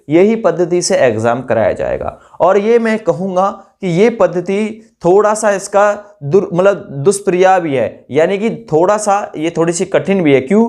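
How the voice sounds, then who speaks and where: Higher-voiced man explaining. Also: darkish tone. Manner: explanatory, asks questions and answers them himself; repeats phrases for emphasis, checks in with listeners. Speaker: exam-news presenter and coach. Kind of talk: informal explainer addressed to exam candidates.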